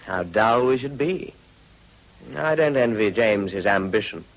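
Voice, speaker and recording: A man playing a world-weary, witty, melancholy upper-class gentleman in a period drama, with a languid, wry delivery. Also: fairly low pitch, expressive, measured pace, low-quality recording.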